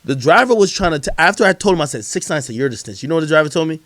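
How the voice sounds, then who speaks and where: A man hosting a casual livestream about hip hop, with a fairly high voice that swings widely in pitch. He talks in loose slang, swearing freely as he spins out stories and opinions. The sound is dry, with hardly any room in it. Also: lively intonation, racing, a bit bright, no echo. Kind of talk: casual livestream chat, storytelling.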